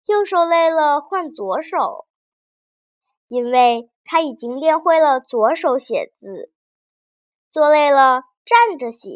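A woman's voice: sits high, no room sound, dull audio. A woman narrating a children's fairy tale expressively, engaging young listeners as she goes. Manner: animated, addresses young listeners with questions. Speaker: children's storyteller.

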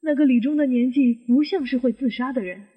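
Woman playing high, husky inquisitive aunt. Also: a little fast. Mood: suspicious, probing. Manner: questioning, argumentative, reasoning step by step. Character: inquisitive, suspicious middle-aged aunt probing a supposed suicide. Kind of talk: Chinese audio detective drama dialogue. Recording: muffled, long hall reverb.

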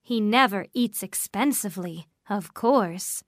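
A woman's voice: mid-range voice, measured pace, dry recording, clean studio sound, close to an everyday voice. A woman voicing an astonished, gossipy young woman in a storybook, speaking with emphatic wonder. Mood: amazed, gossipy. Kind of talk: storybook character dialogue.

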